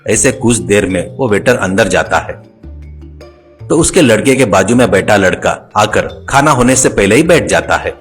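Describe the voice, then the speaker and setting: A man's voice: lowish voice, lively intonation, moderate pace, slightly rough, faint music behind. A man telling a comic story as its narrator.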